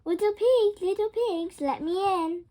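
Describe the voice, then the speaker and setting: A woman's voice: very high voice, a little slow, dark tone, voice pushed up. A woman voicing a plucky young girl from a children's storybook, in an exclamatory, dramatic way. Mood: calling out.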